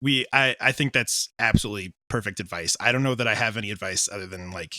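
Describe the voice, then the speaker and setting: A man's voice: fairly low pitch, fast talker, pushed and bright, no echo. A man giving informal, rambling answers in a casual interview, often interrupting himself as a creator discussing his work. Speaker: audio drama writer and director being interviewed.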